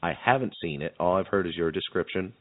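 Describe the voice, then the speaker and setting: A man co-hosting a podcast in casual chat, halting and repeating words as he searches for phrasing. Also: low voice, no echo, phone-quality sound. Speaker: podcast co-host.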